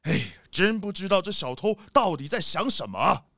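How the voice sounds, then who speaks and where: A man's voice: high voice, big pitch swings, normal speed, gravelly, fairly bright tone, dry recording, clean studio sound, exaggerated voice. A man playing a rich, boastful, haughty leopard boss in a children's audio drama. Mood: puzzled, grumbling.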